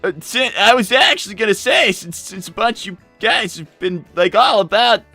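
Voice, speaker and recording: A man's voice: sits high, animated, moderate pace, bright, forceful tone, no echo, soft background music, full character voice. A man voicing an earnest, easily flustered young cartoon fox inventor who stammers and repeats words in improvised live roleplay. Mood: defensive, flustered.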